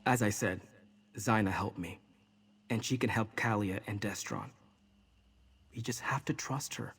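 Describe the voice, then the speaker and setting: An actor playing an earnest, steady male mage in a fantasy game, explaining things calmly to companions. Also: fairly low pitch, measured pace, breathy edge, heavily acted. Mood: earnest, reassuring.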